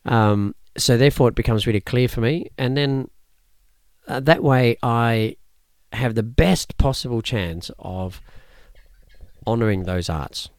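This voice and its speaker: A man whose first language is Cantonese, a martial arts teacher explaining his teaching in a thoughtful interview, often pausing and restarting. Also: medium pitch, no echo. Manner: measured, thoughtful, with frequent fillers and restarts. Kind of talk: conversational interview answers.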